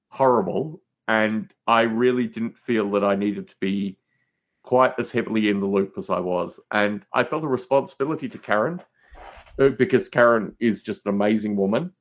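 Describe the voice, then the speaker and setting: A man's voice: on the low side, moderate pace. A middle-aged man in casual conversation, telling stories in an emphatic, rambling way and often repeating words for stress. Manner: emphatic, repetitive, rambling storyteller. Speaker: podcast host appearing as guest. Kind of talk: casual chat.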